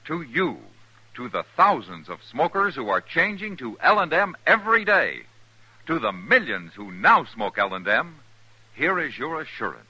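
A man's voice: medium pitch, very animated, moderate pace, bright, forceful tone, slightly rough, dry recording, low-quality recording. A man with an American accent reading a 1950s radio commercial as its announcer. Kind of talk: 1950s radio advertising pitch.

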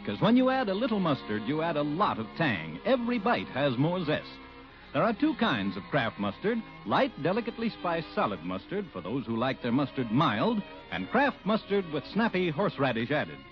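Music plays behind an American man pitching a product in 1940s radio style. His fairly high voice rises and falls dramatically on a dull old recording. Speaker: commercial announcer.

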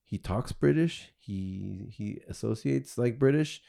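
A man's voice: fairly low pitch, expressive, slow, vocal fry, mellow. A man hosting a podcast, chatting casually and thinking aloud with many restarts. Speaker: podcast host.

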